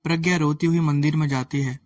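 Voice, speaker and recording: A male narrator with a slightly high voice tells a scripted moral story. Soft music runs faintly behind him. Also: steady tone, a little fast.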